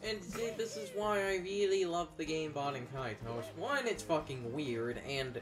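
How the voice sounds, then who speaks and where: A man co-hosting a gaming video, giving opinionated commentary in a casual, halting, rambling way. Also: a little high, lively intonation, music in the background. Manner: rambling, halting, circles back to his point.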